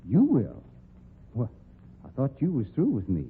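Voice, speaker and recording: A man's voice: medium pitch, wildly swooping pitch, measured pace, breathy edge, full character voice. A man playing a tough, plain-spoken rodeo cowboy sleuth with a folksy American drawl, in old-time radio drama style. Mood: subdued, gruff. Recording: muffled lo-fi old radio recording.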